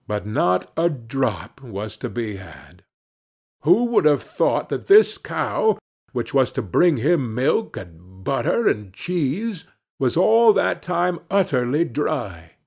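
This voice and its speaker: A man narrating a fairy tale as a scripted reading, in a measured, expressive storytelling manner. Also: mid-range voice.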